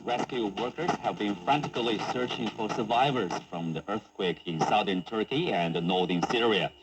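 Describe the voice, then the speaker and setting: A man's voice: fairly low pitch, normal speed. A man hosting a broadcast news interview, heard over a shortwave radio. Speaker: news talk show host. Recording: noisy humming shortwave radio reception.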